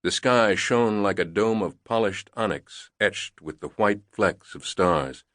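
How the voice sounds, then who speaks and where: A man narrating a thriller novel in a measured, deliberate reading on a close studio mic. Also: fairly low pitch, a bit bright, no room sound. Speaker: audiobook narrator.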